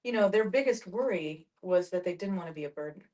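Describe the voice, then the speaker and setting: A middle-aged woman telling personal experience in a group discussion, reflective and halting as she thinks aloud. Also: lowish voice, lively intonation, darkish tone. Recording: distant mic, group discussion.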